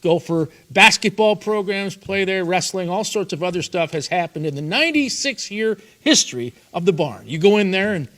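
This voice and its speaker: Male sports talk host, high voice, upbeat emphatic delivery. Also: expressive, normal speed, hardly any pauses, pushed and bright. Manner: upbeat, emphatic, repeats words for punch. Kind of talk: sports talk show hosting.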